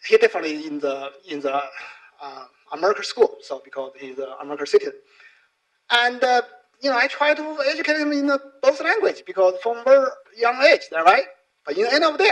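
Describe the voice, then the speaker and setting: Male conference speaker, middle-aged, native Chinese with accented English; high voice, very lively intonation, informal explaining. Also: rough recording. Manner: non-native English with frequent tag questions.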